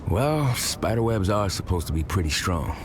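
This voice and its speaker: A man's voice: low-pitched, very animated, normal speed, noisy background. An actor playing a wry, watchful male hero, musing aloud in video game lines.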